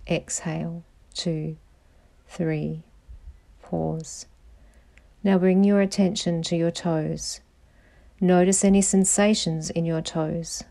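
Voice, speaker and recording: A woman guiding a meditation in a calm, soothing voice, giving measured instructions with pauses. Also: on the low side, creaky. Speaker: meditation guide, podcast host. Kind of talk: slow guided meditation narration.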